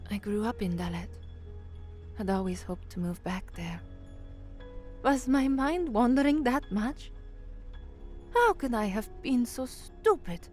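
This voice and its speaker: An actress playing an anxious, earnest young woman from the slums in a dramatic first-person audio story, measured and introspective. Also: fairly high pitch, animated, darkish tone, faint music behind. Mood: dismayed.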